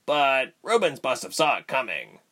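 A man voicing a devoted male prisoner in an audiobook story, quiet and earnest in manner. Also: mid-range voice, big pitch swings, moderate pace, voice pushed up. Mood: explaining. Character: devoted male captive, quiet, thoughtful, teasing.

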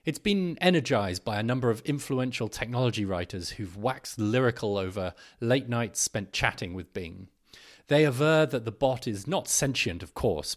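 A man hosting a podcast, reading scripted narration and an article aloud. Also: mid-range voice.

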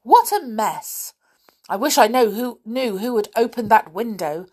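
A woman's voice: medium pitch, huge pitch swings, extremely slow, fairly bright tone, husky, full character voice. A woman voicing a cross nursery nanny in a children's story, indignant and scolding. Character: cross, puzzled adult female nursery nanny.

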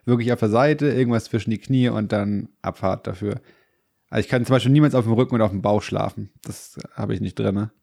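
A man's voice: lowish voice, brisk, creaky. A man who is slightly stuffed up from a cold, chatting casually and rambling as a podcast co-host. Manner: relaxed, rambling storyteller. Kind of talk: casual chat.

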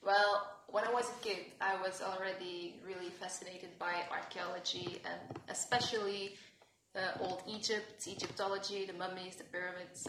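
A woman's voice: mid-range voice, fairly bright tone, reverberant, slight background noise, low-quality recording. A young woman from France, a podcast guest talking casually and hesitantly, with many fillers. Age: young adult. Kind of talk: casual conversation.

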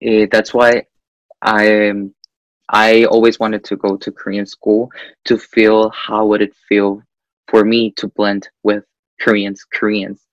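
A young man from Guatemala speaks as an interview guest, often pausing on "eh" as he thinks. His voice sits a little low, and the recording is dry and close, with no room sound. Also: dry recording, dull audio. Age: young adult. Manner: hesitant, frequent "eh" fillers, animated. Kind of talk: casual interview chat.

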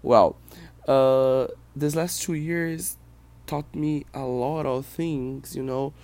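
A Brazilian man who speaks English as a second language, with a Brazilian Portuguese accent, hosting a personal podcast in a reflective, halting way. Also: mid-range voice, steady tone, a little mellow, no echo. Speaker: podcast host.

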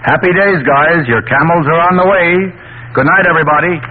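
A man's voice: on the high side, brisk, heavy background noise. A man reading a 1940s radio commercial in an upbeat announcer style. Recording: tinny old radio broadcast.

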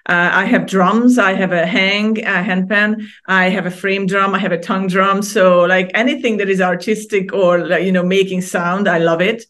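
A woman's voice: mid-range voice, fairly even intonation, moderate pace, hardly any pauses, a bit bright. A middle-aged woman from Hungary, a podcast guest who chats, laughs often and restarts her sentences. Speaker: guest artist. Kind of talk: casual interview conversation.

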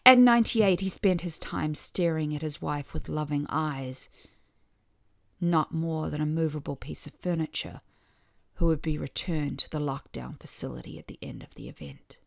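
Woman narrating her own short story, lowish voice, wry and expressive, very dry close sound. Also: moderate pace, vocal fry, no room sound. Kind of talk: scripted first-person story narration.

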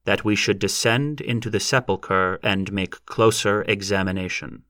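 Man playing a solemn small-town minister, pompous, formal, deliberate, pontificating, storybook character, dry recording. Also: on the low side, steady tone, fairly bright tone, no room sound, natural voice.

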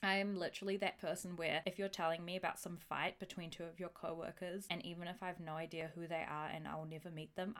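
Young female podcast host, slightly low voice, informal and chatty, casual solo delivery with a self-deprecating streak.

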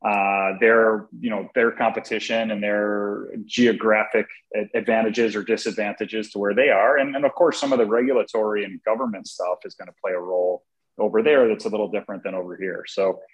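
Male co-host, lowish voice, thoughtful, hesitant delivery with fillers. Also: steady tone, fairly quick, lo-fi sound. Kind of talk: casual business discussion.

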